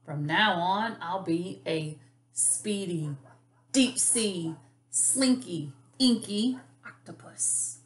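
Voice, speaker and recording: A woman voicing a playful, easily startled storybook octopus with an expressive, exclaiming delivery. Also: on the low side, extremely slow, echoey room. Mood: happy, proud.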